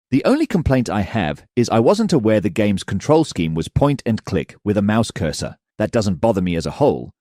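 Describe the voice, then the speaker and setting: A man's voice: fairly low pitch, dark tone, dry recording, clean studio sound. A man narrating a scripted video game review as the host of the channel.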